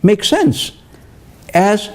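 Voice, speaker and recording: A man's voice: high-pitched, big pitch swings, extremely slow. A male TV host questioning a guest, emphatic and drawn out as he lays out numbers. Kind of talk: TV interview questioning.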